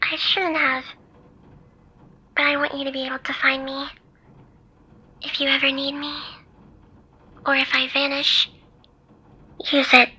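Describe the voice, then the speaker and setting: A woman voicing a devoted, teasing female AI hacker in an intimate ASMR roleplay, soft and tender. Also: sits high, level tone, measured pace, long pauses, exaggerated voice. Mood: hushed, earnest.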